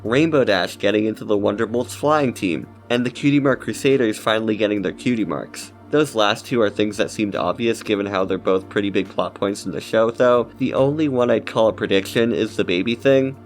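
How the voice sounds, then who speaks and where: A man's voice: on the low side, fairly quick, few pauses, soft background music. A man giving casual scripted commentary as a video essayist.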